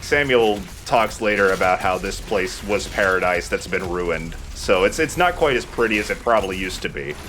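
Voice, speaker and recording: A man's voice: sits low, normal speed, hardly any pauses, faint music behind. A man, a young adult, hosting a Let's Play with casual, rambling, jokey game commentary. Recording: clear steady background noise.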